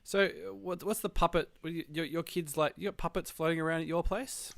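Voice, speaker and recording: A man's voice: fairly high pitch, steady tone, a little fast, fairly bright tone, dry recording. Chatty Australian man hosting a podcast. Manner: chatty, laughing easily, thinking aloud. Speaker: podcast host. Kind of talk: casual podcast chat.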